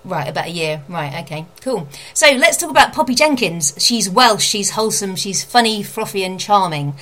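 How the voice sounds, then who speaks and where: A woman's voice: medium pitch, lively intonation, moderate pace, fairly bright tone. A woman interviewing in a casual, chatty way, informal and encouraging with her guest.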